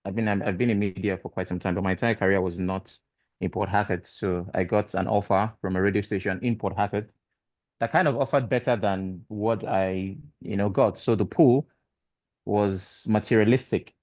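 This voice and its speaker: A man who works in radio, speaking as a panelist on a video call in a relaxed, joking way. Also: on the low side, normal speed, dry recording. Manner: relaxed and self-deprecating, joking. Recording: dull lo-fi call audio.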